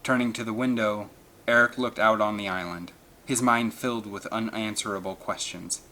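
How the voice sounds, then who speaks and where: Forceful low-voiced male storyteller. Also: fairly even intonation, moderate pace, a little hiss or hum, rough recording. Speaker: audiobook narrator. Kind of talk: dramatic scripted narration of an adventure novel.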